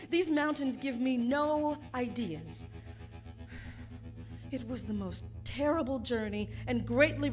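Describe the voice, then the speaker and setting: An actress playing an agitated, imperious and fastidious mother in a futuristic radio drama, with a haughty, theatrical delivery. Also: high voice. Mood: curious, weary, then impatient. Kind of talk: theatrical radio drama acting before a live audience.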